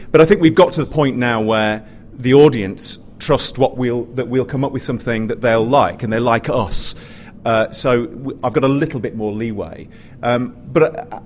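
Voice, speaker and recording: A British man speaking informally on a panel, in a conversational, stop-start way with repeated words. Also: mid-range voice. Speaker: panelist, pantomime writer and director. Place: live panel in a theatre. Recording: faint background noise, live event.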